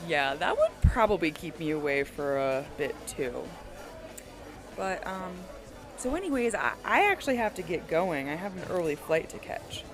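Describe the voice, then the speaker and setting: Music plays clearly behind an actress playing a confident woman with a fairly low voice. She is a playful, guarded businesswoman in an audio drama, and her delivery is breezy and self-assured, with animated swings in pitch. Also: moderate pace. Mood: casual, brisk. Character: confident, playful, cagey businesswoman with secret government ties.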